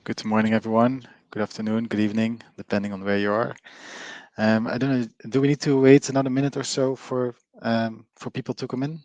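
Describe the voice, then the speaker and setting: A man's voice: medium pitch, level tone, brisk, a little mellow, no echo, muffled recording. Belgian-accented man giving a chatty webinar. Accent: Belgian (Flemish). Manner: chatty, engages audience, frequent fillers. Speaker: webinar presenter, company co-founder. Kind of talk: live online presentation.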